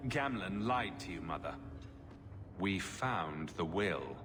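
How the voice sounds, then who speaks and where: An actor playing a steady, determined young adventurer, direct and controlled, in a video game drama. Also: male voice, low-pitched, slow, big-room sound. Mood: steady, firm.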